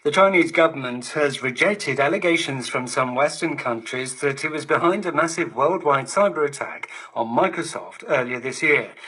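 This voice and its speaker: A man reads a formal radio news bulletin in a bright, projected voice. His mid-pitched tone has a slightly rough edge, heard over a digital shortwave broadcast. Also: rough recording. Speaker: news presenter.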